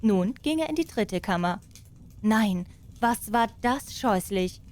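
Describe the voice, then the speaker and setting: A woman narrating a fairy tale as an expressive audiobook storyteller. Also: mid-range voice, takes it slowly.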